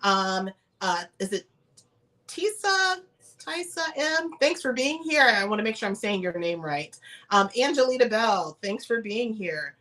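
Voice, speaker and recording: A woman's voice: medium pitch, very animated, unhurried, fairly bright tone. A woman hosting a casual livestream chat who sounds congested and nasal from a cold, greeting viewers with frequent "um"s. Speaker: host and travel advisor.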